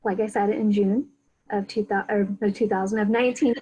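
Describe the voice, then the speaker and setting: A woman in her thirties from Argentina, a guest on a casual chat, speaking softly and a little hesitantly. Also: mid-range voice, steady tone, fairly quick, slightly rough, rough recording. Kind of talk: casual video-call chat. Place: home in Texas.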